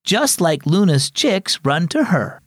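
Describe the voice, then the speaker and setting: Man playing an excitable young boy, higher-pitched. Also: takes it slowly, slightly rough, dry recording, clean studio sound, full character voice. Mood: eager. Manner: eager, exclamatory.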